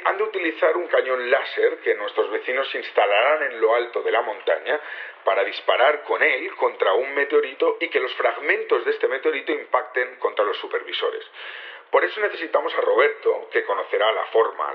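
A man's voice: medium pitch, lightly acted. A dull, lo-fi radio-like recording of a male rebel leader from Spain played by an actor. He explains his plans in a naturalistic way, with small hesitations, as in an audio drama. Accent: Spanish (Spain). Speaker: actor in a fiction podcast.

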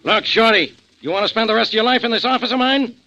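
An actor playing a blustering, impatient American police inspector, barking with exasperated sarcasm in 1940s radio drama style. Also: male voice, very high voice, fast. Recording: noisy, muffled 1940s radio recording.

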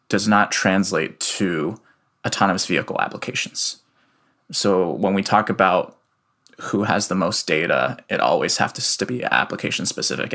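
Young guy from the us, low voice, explains stuff slow and careful, talking from home. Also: a bit bright. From United States. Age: young adult. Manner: measured, explanatory, with some fillers. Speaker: guest, researcher. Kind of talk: remote interview answers.

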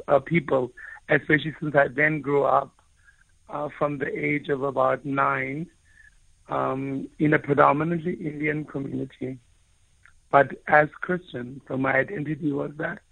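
A man's voice: fairly high pitch, gravelly, no room sound. A man from South Africa whose first language is Afrikaans, an author telling his own story earnestly, often restarting his phrases as he searches for words. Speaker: author and guest. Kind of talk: reflective personal storytelling. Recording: tinny phone-like line.

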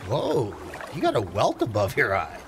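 A man's voice: mid-range voice, huge pitch swings, moderate pace, a bit bright, slight background noise. A man playing a naive, eager amateur fisherman in a comic radio play, earnest and a bit dim. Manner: earnest, simple-minded.

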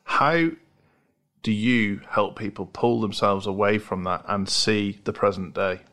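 A man's voice: on the low side, unhurried, a bit bright. A man hosting a podcast, thoughtfully building up a long interview question. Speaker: podcast host.

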